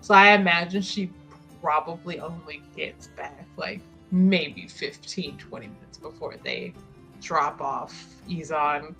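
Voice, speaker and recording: A woman with a bright, forward voice narrates her character in a tabletop roleplaying game. She takes her time and rambles informally, swearing freely. Quiet music runs behind her. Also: deep voice, a little slow, creaky. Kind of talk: casual improvised character narration.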